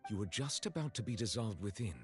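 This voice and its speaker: A man playing a courteous, solemn chief judge in a video game, with formal, measured and reserved diction. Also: on the low side, lively intonation, close to an everyday voice. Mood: calm, reserved. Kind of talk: video game character dialogue. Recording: music playing behind the voice.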